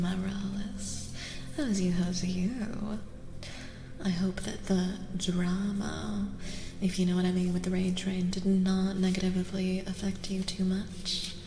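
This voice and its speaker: Soft, whispery woman streamer with roomy echo. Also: medium pitch, noisy background. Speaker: livestream host. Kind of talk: soft-spoken ASMR livestream chat. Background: music.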